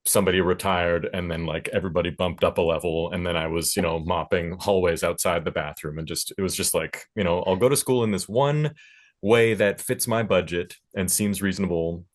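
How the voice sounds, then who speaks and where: A man with a very low-pitched voice speaks on a panel. He talks reflectively, offering advice in halting phrases with pauses between thoughts. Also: steady tone. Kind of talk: panel discussion, giving career advice.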